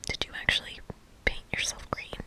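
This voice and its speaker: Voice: fairly quick, sharp tone. An ASMR reader voicing a sassy, blunt young female magical camper from a video game, sarcastic and casual, in a whisper.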